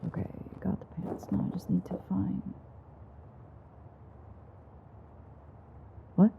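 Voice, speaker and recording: A woman playing a weary, sardonic, teasing female vampire in an intimate audio roleplay, with a breathy, husky voice that often drops near a whisper and a dry, sarcastic way of talking. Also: mid-range voice, little pitch movement, a little fast, phone-quality sound, background noise. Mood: exasperated, bossy. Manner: dry, rambling, sarcastic banter.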